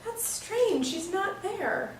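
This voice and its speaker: Actress as high, swooping, worried woman friend. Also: expressive, slow, full character voice. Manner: calling out, questioning. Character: worried, inquisitive woman friend named Catherine. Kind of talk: staged play reading. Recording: roomy stage, distant mic, faint noise.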